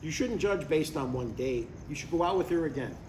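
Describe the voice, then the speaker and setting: A man's voice: a little high, expressive, fairly quick, natural voice. An older man playing an eager, stubborn retired father in a live comedy script table read, chatting earnestly and needling a little. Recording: echoey, distant live room with background noise.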